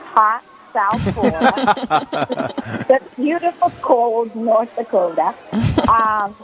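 A woman's voice: on the high side, lively intonation, slow pace, crowd noise, poor audio. A woman in her forties from Brazil, a guest on a radio call-in interview, telling her story earnestly with many fillers. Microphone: phone call.

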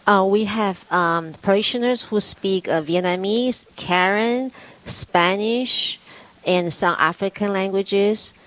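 A woman whose first language is Mandarin Chinese, answering interview questions about pastoral ministry in a plain, earnest way with many pauses. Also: medium pitch, fairly bright tone, no echo.

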